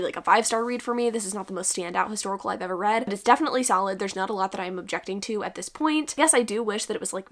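A young woman talks rapidly and candidly to her camera about a book, peppering her sentences with fillers. Her voice sounds a little congested, and she speaks from a home room at desk distance from the camera. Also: medium pitch, a bit bright. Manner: chatty, many "like" fillers, candid. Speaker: book vlogger. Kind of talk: casual talking-to-camera book review. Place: home room with bookshelves, then bedroom.

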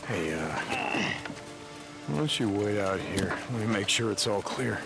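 An actor playing a gruff, weary biker drifter in a post-apocalyptic video game, with terse, muttered delivery. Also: male voice, fairly low pitch, animated, unhurried, over music. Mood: concerned, subdued. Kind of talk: naturalistic cinematic game acting.

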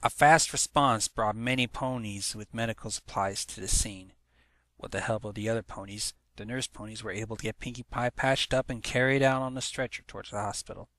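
A man's voice: lowish voice, fairly quick, fairly bright tone, no room sound. A man reading a story aloud as a dramatic scripted narration. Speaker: fan fiction narrator.

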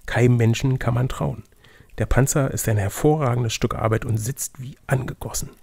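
A man with a raspy, slightly creaky voice acts as game master for an adventure gamebook. His pitch is of middle height, and he moves between reading aloud and casual banter. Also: fairly even intonation, normal speed, darkish tone, no echo.

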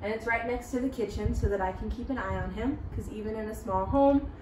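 A woman's voice: on the high side, a little fast, background noise. A young stay-at-home mom, pregnant, giving an upbeat, chatty tour of her small home while walking room to room. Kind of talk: casual home tour vlog. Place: small home with kitchen, nursery and bathroom. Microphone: camera a few steps away. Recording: roomy, slightly distant sound, faint ambience.

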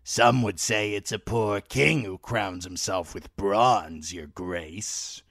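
A man's voice: fairly low pitch, takes it slowly, a bit bright, no echo, studio quality, full character voice. A man voicing a crude, sly, very old lord who mocks his guests in a wheezy voice. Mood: mocking.

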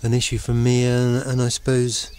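A middle-aged man giving a rambling, reflective monologue to camera, halting as he searches for words. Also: medium pitch, completely flat delivery, darkish tone. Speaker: vlogger. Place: outdoors in open countryside. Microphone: handheld camera at arm's length. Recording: noisy outdoor camera recording. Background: birds and outdoor noise.

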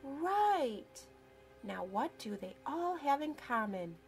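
Woman doing storybook schoolteacher, female, encouraging, instructive, questioning, lively pitch, measured pace. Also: soft background music, full character voice.

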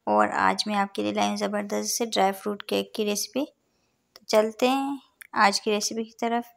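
A woman with an Urdu-influenced accent talks viewers through a recipe as a cooking channel host. Her voice has a gravelly edge and stays very even in pitch. She explains each measure in a calm, patient voice-over. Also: moderate pace. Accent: Urdu-influenced Hindustani. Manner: calm, instructive, counts out measures. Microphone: voice-over over overhead cooking shots.

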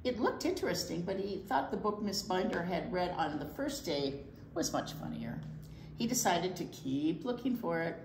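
Older woman, animated storytime reader, gravelly edge, picture book read to children, slightly roomy sound. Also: fairly low pitch, slight background noise. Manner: expressive, pausing for asides to young listeners. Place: indoor library storytime set.

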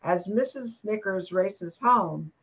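A woman's voice: mid-range voice, slow, breathy edge, lo-fi sound. An older woman narrating a scripted radio play.

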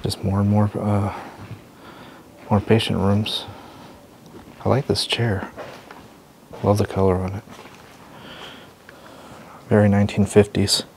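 A man narrating a walk through an abandoned building, calmly pointing things out as he goes. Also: slow pace, gravelly, pauses often, slight background noise. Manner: low-key, observational, thinking aloud. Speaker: urban explorer host. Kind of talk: walking vlog narration.

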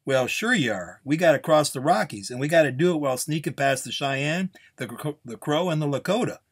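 A man voicing an older male gunslinger in a Western story, gruff, wry and haggling in folksy, drawling speech. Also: fairly high pitch, expressive, brisk, pitched above the performer's own voice. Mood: wry, skeptical.

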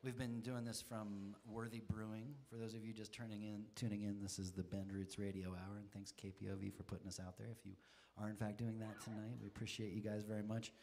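A man's voice: fairly low pitch, little pitch movement, fairly quick, few pauses, mellow. A man hosting a live community radio show from a living room, chatting casually and rambling a little with a guest between songs. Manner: rambling, friendly, restarts sentences. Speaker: radio show host and musician. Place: living room during a live band session. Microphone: stage vocal mic on a stand.

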